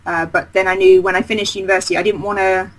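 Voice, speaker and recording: A woman's voice: mid-range voice, flat delivery, fast, rough recording. Chatty woman, rambling enthusiastically. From Essex, England. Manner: chatty, rambling, with many fillers and restarts. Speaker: game designer guest. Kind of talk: casual interview chat.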